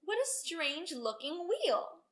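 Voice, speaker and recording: A woman voicing a gentle young princess from a storybook, innocent, curious and kindly. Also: extremely high pitch, very animated, slow pace, voice pushed up, heavily acted.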